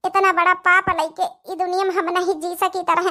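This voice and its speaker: A woman's voice: very high voice, little pitch movement, normal speed, pushed and bright. A woman voicing a remorseful young daughter-in-law in a cartoon melodrama, pleading tearfully with an Awadhi accent. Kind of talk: cartoon family melodrama acting.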